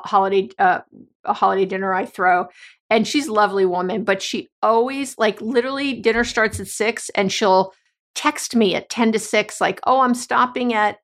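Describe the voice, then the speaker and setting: A woman hosting an advice talk, chatty and a little hoarse, often restarting her sentences and laughing. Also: mid-range voice, a bit bright. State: slightly hoarse. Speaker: podcast host and coach. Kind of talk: casual advice monologue.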